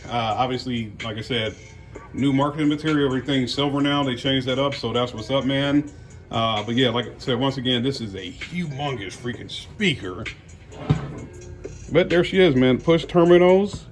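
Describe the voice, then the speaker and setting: A man's voice: mid-range voice, moderate pace, faint music behind. An American man giving casual unboxing commentary as a car audio vlogger, talking in a folksy, slangy way.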